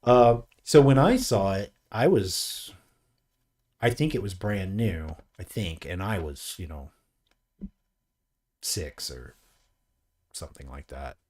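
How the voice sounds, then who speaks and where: Easygoing forty-something man, low voice. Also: animated, slow pace, pauses often, a little mellow, husky. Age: 40s. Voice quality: graying beard mentioned, easygoing. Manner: rambling, joking, reads chat aloud. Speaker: co-host of a live miniature painting stream. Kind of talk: casual chat, reading out viewer comments.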